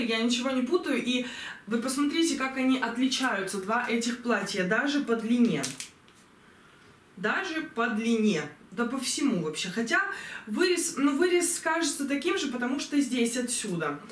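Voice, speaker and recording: A young woman reviewing clothes she ordered online, chatty and enthusiastic, slightly hoarse from a cold, filmed at home on a distant camera. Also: a little high, fairly bright tone. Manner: chatty, enthusiastic, many fillers. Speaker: fashion vlogger. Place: bedroom at home, indoors. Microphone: camera mic from a distance. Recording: roomy echo, voice from far off.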